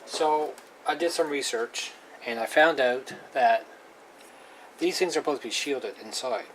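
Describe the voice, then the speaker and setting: A man giving a casual, unscripted hands-on demonstration of radio gear, plain-spoken and halting, often repeating himself. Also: mid-range voice. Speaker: hobbyist presenter demonstrating radio equipment. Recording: clearly noisy amateur recording.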